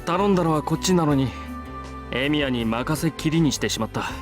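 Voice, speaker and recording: A man playing a stiff, formal high school student in a Japanese visual novel. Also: expressive, racing, close to an everyday voice. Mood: apologetic. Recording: loud game music behind voice.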